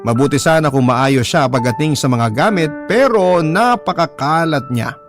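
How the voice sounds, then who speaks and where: Filipino male storyteller over clear background music. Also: on the high side, lively intonation, moderate pace. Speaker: radio drama narrator. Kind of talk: emotive scripted first-person letter reading.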